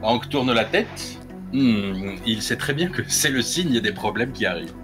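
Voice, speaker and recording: A man playing a character in a tabletop roleplaying game, describing his actions in a measured way. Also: medium pitch, lively intonation, over music. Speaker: player.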